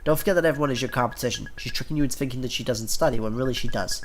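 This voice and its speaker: A man's voice: mid-range voice, racing, slight background noise, close to an everyday voice. A man voicing a strict, suspicious middle-aged mother who lectures and scolds, in a visual novel game. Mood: stern. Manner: stern, accusing, lecturing.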